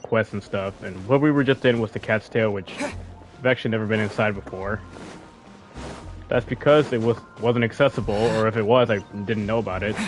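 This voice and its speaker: A man live-streaming a video game, chatting in a loose, rambling, informal way. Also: on the low side. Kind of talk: casual live-stream chat while playing. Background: faint game music.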